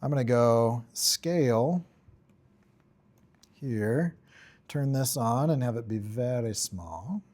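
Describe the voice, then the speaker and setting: A man giving an informal software tutorial, thinking aloud in short, offhand fragments as he works. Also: mid-range voice, lively intonation, takes it slowly, long pauses. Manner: offhand, talks in short fragments while working. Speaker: tutorial instructor.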